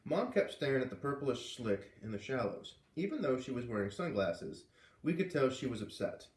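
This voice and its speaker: A man reads a children's story aloud, bouncing into character voices with big leaps in pitch. His voice sits in the middle of the male range. The sound is roomy and a little distant, as if the mic is across the room. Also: big pitch swings, normal speed. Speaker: reader reading aloud to kids.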